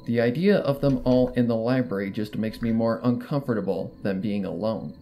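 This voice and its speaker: A narrator reading fan fiction aloud in the first person, with expressive storytelling delivery. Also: medium pitch, normal speed, a little hiss or hum.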